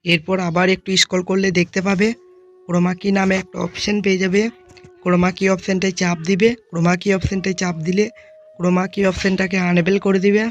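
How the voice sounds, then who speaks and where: A tutorial host narrating software steps one by one, speaking informally to viewers as friends. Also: medium pitch, flat delivery, moderate pace, muffled recording.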